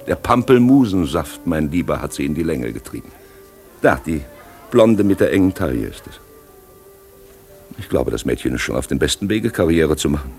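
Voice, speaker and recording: Deep-voiced, urbane cynic. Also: male voice, very animated, a little mellow, full character voice. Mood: amused, sardonic. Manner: dry, ironic, measured, articulate. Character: urbane, cynical gentleman friend of a rich host. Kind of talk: 1950s German radio play acting. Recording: vintage 1950s radio play with music.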